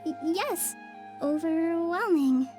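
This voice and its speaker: Young female forest ranger for a game,, timid and anxious, halting delivery over music. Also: very high voice, expressive, darkish tone, full character voice. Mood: flustered. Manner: hesitant, halting. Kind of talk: video game cutscene acting.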